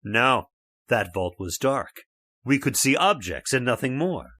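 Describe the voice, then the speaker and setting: A man's voice: medium pitch, very animated, unhurried, pushed and bright, no room sound, full character voice. An actor playing a stubborn, skeptical middle-aged father in a radio horror drama, scornful and dismissive. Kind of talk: old-time radio drama acting.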